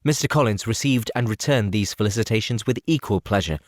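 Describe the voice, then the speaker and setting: A man narrating a classic novel as an audiobook in a steady, expressive reading. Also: on the low side, brisk, dry recording, clean studio sound. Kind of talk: scripted narration.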